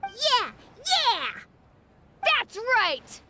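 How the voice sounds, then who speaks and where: Tiny, squeaky, excitable fairy. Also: female voice, drawn-out words, background noise, heavily acted. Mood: cheering. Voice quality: squeaky, piping. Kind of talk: anime game voice acting.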